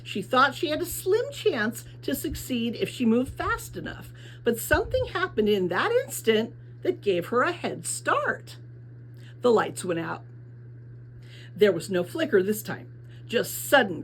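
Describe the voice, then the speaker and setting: Lively woman reading a storybook aloud. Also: a little high, unhurried, slight background noise, rough recording. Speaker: audiobook reader. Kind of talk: expressive scripted storybook narration.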